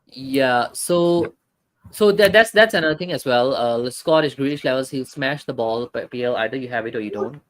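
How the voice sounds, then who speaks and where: Casual male stream host, halting delivery, lots of uh and restarts. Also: medium pitch, fairly bright tone. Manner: hesitant, many fillers and restarts. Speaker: football talk stream host. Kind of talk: casual live-stream football chat, reading chat comments.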